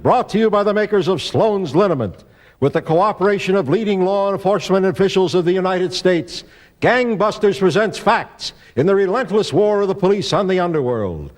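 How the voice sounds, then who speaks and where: An older man announcing in old-time radio style, emphatic and dramatic. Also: high voice. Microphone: stand microphone.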